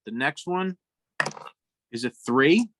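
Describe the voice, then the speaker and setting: A man's voice: mid-range voice, expressive, slow pace. A man talking casually as a tabletop game player, on a noisy recording. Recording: noisy microphone with clicks.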